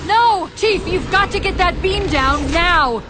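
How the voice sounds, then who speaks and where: A woman's voice: very high-pitched, animated, slow pace, noisy background, heavily acted. A woman playing an urgent, protective female military AI companion in a video game. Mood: alarmed. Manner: urgent, commanding. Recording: loud game music and vehicle sounds behind.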